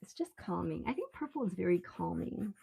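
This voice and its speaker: A woman with a relaxed voice chats casually on a live stream as she draws, rambling unhurriedly and thinking aloud. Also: fairly low pitch, brisk, vocal fry, slightly rough. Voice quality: relaxed, soft-edged voice. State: relaxed, mildly sedated after anesthetic. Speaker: live stream artist host. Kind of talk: casual live stream chat while drawing.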